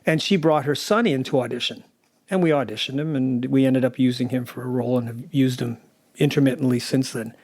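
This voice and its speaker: A man's voice: medium pitch, a little fast, darkish tone, dry recording. A man talking conversationally as an audio drama producer, recounting things and quoting remembered dialogue. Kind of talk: conversational interview anecdote.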